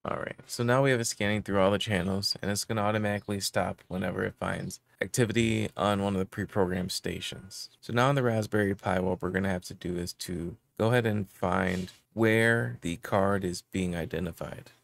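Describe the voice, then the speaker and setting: A man's voice: low voice, steady tone, fairly quick, gravelly, few pauses, no room sound. A man in his thirties giving a casual how-to explanation as host of a tech tutorial. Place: small indoor room with electronics gear.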